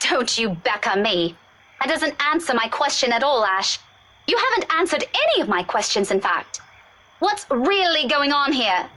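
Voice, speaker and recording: A woman's voice: high voice, sing-song, unhurried, pushed and bright, exaggerated voice. A woman playing a sarcastic, fed-up young woman. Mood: exasperated. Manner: sarcastic, exasperated. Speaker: game narrator and player.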